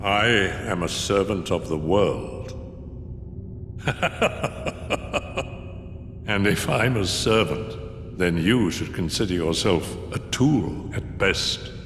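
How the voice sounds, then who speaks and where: An actor playing a cold, mocking, scheming older man in a video game cutscene, with measured, theatrical, condescending delivery. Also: deep voice, lively intonation, fairly bright tone, a little hiss or hum, full character voice. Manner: condescending, sardonic. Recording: echoey long reverb, music underneath. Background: game score music.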